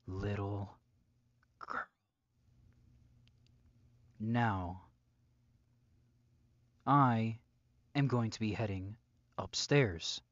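Slow, menacing young male captor, acted by a man. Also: fairly low pitch, no room sound, muffled recording. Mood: whispered threat, then condescending. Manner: slow, deliberate, often dropping into breathy whispers. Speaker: audio roleplay performer. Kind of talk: ASMR-style audio roleplay drama.

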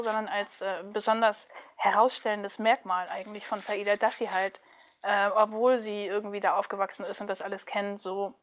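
A woman speaking casually as an interview guest, chatty and animated, like a voice actress talking about her work. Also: medium pitch.

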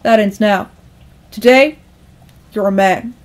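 A woman voicing a stern, patient father who lectures in a measured way, in a storybook tale. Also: mid-range voice, natural voice. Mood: stern lecturing. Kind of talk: acted storytelling dialogue.

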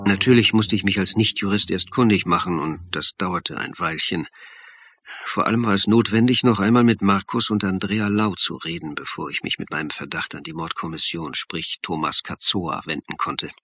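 An actor playing a shrewd, insistent college lecturer who narrates and acts in a German radio crime play, speaking deliberately and articulately. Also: male voice, low-pitched, steady tone, fairly bright tone, breathy edge, dry recording, exaggerated voice. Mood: reflective narration. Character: male college lecturer turned amateur sleuth, shrewd, insistent. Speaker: narrator and lead character.

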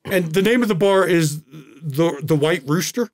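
A man running a tabletop game as game master, narrating with many pauses and restarts. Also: a little high, no echo, clean studio sound. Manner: halting, many uhs and restarts.

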